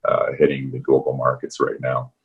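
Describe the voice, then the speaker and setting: A man's voice: a bass voice, monotone, moderate pace, husky, phone-quality sound. A man hosting a semi-formal investment conference call. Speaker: portfolio manager hosting the call.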